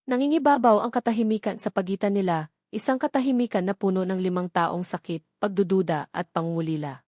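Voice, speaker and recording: A woman's voice: mid-range voice, brisk, darkish tone, no echo. Woman narrating a romance story, dry studio sound.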